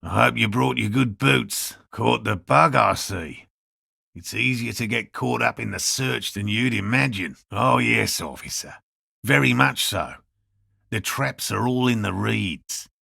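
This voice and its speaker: Gravelly, earnest old cryptozoologist. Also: male voice, mid-range voice, unhurried, pushed and bright, dry recording, clean studio sound, heavily acted. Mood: polite, instructive. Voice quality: gravelly, raspy. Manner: pedantic, formal, earnest. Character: earnest, elderly male cryptozoologist, stubbornly hopeful, scholarly, devoted husband. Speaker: video game character. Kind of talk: video game character dialogue.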